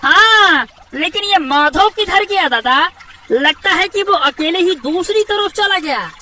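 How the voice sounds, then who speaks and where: A woman's voice: extremely high pitch, animated, measured pace, pushed and bright, distant mic, slight background noise, exaggerated voice. A woman voicing a nervous, nagging younger fisherman brother in a Hindi cartoon, speaking in a rustic, exclaiming way. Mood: suspicious. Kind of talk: Hindi cartoon moral story acting.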